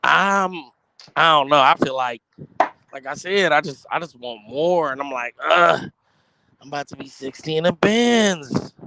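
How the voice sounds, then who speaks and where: An American man in his thirties talks in loose, slangy conversation. He is animated and theatrical, piling up mock protest as he banters with friends. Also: a little high, a little slow, creaky, slight background noise, poor audio. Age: 30s. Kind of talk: casual chat with slang and banter.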